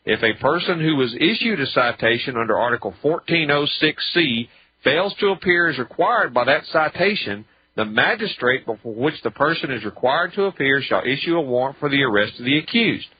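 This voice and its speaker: A man's voice: mid-range voice, expressive, a little fast. A man giving an explanatory legal talk on the radio, reading statutes aloud and commenting with pointed rhetorical questions. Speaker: radio show speaker on law. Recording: dull lo-fi radio audio.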